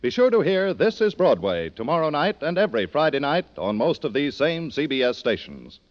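A slightly muffled old radio recording carries a male network announcer. He reads scripted copy in 1940s style, his pitch moving in wide, animated swings. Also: moderate pace.

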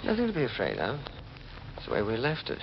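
Shady plotting nephew, 40s radio mystery style, creaky voice, noisy old recording. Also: male voice, mid-range voice, wildly swooping pitch, fast, lo-fi sound. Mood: guarded. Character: scheming, anxious nephew turned murderous plotter, irritable. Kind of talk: 1940s radio mystery acting.

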